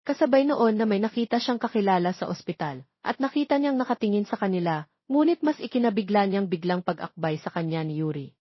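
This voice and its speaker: A woman's voice: mid-range voice, expressive, a little fast, breathy edge, no room sound, muffled recording. A woman narrating romance fiction as a scripted, dramatic story reading.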